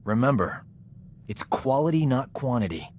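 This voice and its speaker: An actor playing a cocky, flirtatious combat medic in a science fiction video game, with a breezy, boastful, wisecracking delivery. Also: male voice, medium pitch, animated, normal speed, darkish tone, slight background noise, lightly acted. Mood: sly, casual. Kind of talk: video game voice acting, character dialogue.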